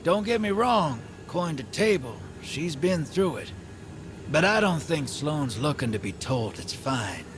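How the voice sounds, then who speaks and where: An actor playing a sly, world-weary gunslinger rogue in a video game, with folksy, drawling slang. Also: male voice, fairly high pitch, sing-song, a little slow, background noise. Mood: brooding, confiding. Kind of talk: video game character dialogue.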